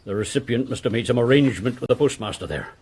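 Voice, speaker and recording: An actor playing an anxious, rule-abiding village postmaster in a period radio drama, polite and formal, with an English accent. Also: male voice, medium pitch, fast talker, a little mellow, breathy edge, lightly acted. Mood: cowed, polite.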